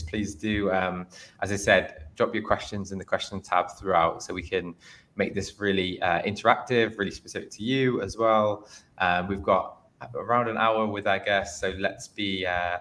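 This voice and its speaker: A man's voice: sits low, fairly bright tone. A young man hosting an online careers webinar, chatty and upbeat, with frequent ums and restarts. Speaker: host.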